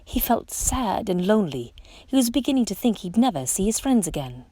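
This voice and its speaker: A woman reading a picture book aloud to children in an expressive, dramatic storytelling style. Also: mid-range voice, brisk, no echo.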